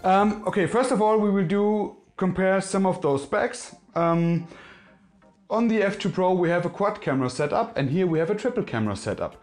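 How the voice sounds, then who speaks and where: A man's voice: sits high, moderate pace, a little mellow, soft background music. A man from Germany with a German accent and German as his first language, hosting a casual phone review in English, sounding a bit nervous and often correcting himself. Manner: nervous, self-correcting, friendly. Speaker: tech reviewer.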